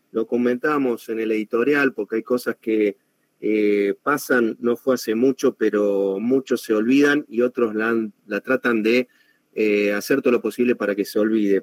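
Dry-sounding Argentine radio host. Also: male voice, mid-range voice, no echo. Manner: brisk, friendly, speeds up in asides. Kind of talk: radio talk show hosting.

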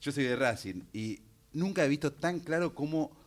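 A man with a Rioplatense Spanish accent hosting a music radio show, chatty and prompting his guest with quick questions. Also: a little high, lively intonation. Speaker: radio host. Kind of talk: casual radio interview.

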